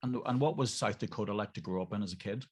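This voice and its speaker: A man's voice: lowish voice, fast, husky. An Irish man hosting an interview in a jovial, chatty way. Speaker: radio host. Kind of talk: casual interview chat.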